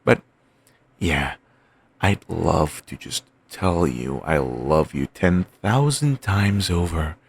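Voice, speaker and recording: A man playing an obsessive, doting young boyfriend, murmuring soft, fixated repetitions in an audio roleplay. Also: low-pitched, lively intonation, takes it slowly, gravelly, a little hiss or hum, heavily acted.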